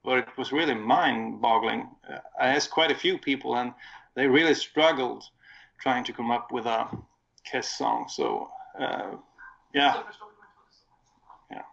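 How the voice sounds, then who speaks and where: A man's voice: medium pitch, sing-song, measured pace, creaky, long pauses, a bit bright. A man with a Swedish accent, casually recounting a concert on a podcast in a rambling, self-correcting way. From Sweden. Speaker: podcast co-host. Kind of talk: casual chat. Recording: dull lo-fi audio.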